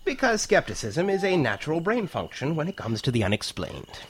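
A male actor plays an eager professor of time travel in an audio drama. His fairly high voice moves animatedly as he patiently lectures, pausing for weight. There is faint noise in the recording. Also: big pitch swings. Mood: explaining, amused. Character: enthusiastic male professor who discovered time-travel wormholes, patient explainer.

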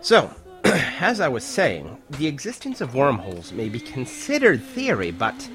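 Actor doing an earnest professor guy, lively pitch, hesitant, faint music behind. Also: measured pace. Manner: hesitant, filler-laden, explanatory. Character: earnest, hesitant male science professor with a secret. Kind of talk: audio drama acting.

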